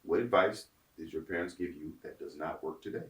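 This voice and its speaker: A man in his forties, a father hosting a casual chat, talking in an emphatic, animated way with frequent laughs. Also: sits low, fairly quick, roomy sound, distant mic. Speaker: host and father.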